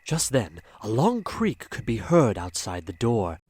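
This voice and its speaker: A man's voice: on the low side, huge pitch swings, measured pace, a little mellow, slightly rough. A man giving dramatic scripted narration as the narrator of a horror audio drama. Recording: music under the voice.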